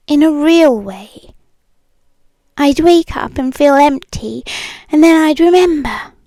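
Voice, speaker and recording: A possessive British yandere ex-girlfriend, acted by a woman in an intimate ASMR roleplay. Her high voice moves extremely slowly and deliberately, often slipping toward a whisper. She sounds eerily calm and condescending, cooing with quiet menace, close and dry on the microphone. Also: dry recording, exaggerated voice. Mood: wounded, longing.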